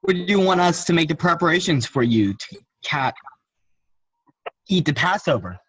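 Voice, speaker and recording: A woman's voice: deep voice, very animated, moderate pace, slightly rough, no echo, heavily acted, poor audio. A woman reading the disciples' lines in a church reading, in a plain read-aloud way. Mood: anxious. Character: the disciples, anxious devoted followers. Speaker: youth reader.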